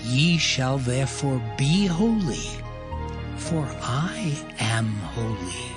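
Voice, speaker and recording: A man voicing the Lord giving laws in a dramatized scripture reading, solemn and measured. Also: a little high. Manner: slow, measured, solemn recitation. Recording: music playing underneath. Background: music.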